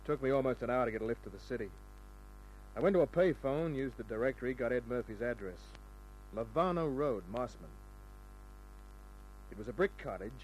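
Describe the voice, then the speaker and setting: A man's voice: mid-range voice, expressive, fairly quick, pauses often, darkish tone, lightly acted, rough recording. A man playing a cynical, tough private detective in an old radio crime drama, wry and needling as he talks and narrates. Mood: matter-of-fact narration. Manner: wry, needling, hard-boiled. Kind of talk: mid-century radio crime drama acting and first-person narration. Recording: old radio recording with music.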